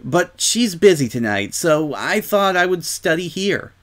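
Man putting on a hesitant, high-voiced young filly. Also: very animated, a bit bright, heavily acted. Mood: polite. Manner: hesitant, halting. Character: haughty young filly turned sad, defensive, vulnerable.

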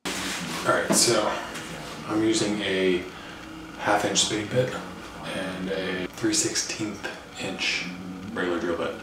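A young man casually explaining his drill bits on camera in a garage workshop, halting with fillers. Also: low voice, steady tone. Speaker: DIY woodworking channel host. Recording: roomy, distant camera audio with noise.

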